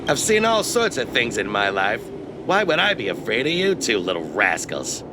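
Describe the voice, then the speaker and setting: A man's voice: medium pitch, very animated, normal speed, background noise, lightly acted. A man voicing a shifty, blustering merchant in a video game, theatrical and wheedling, proud of his reputation and easily cowed. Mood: sly, haggling.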